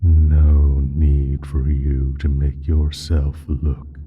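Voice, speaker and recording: Ancient nefarious entity for audio drama: bottomless low register, condescending menace, darkly amused, slightly muffled sound. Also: male voice, flat delivery, extremely slow, soft background music, heavily acted. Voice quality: husky, breathy, very deep. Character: ancient evil male primordial entity, smug and menacing. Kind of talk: slow audio roleplay monologue.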